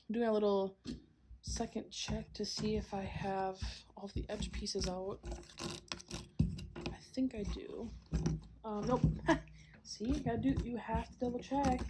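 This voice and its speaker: A young woman hosting a casual solo podcast while she does a jigsaw puzzle, a little congested and clearing her throat, rambling with lots of "like" and "um" and small laughs, recorded at home. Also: mid-range voice, noisy background. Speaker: podcast host. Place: a home, downstairs.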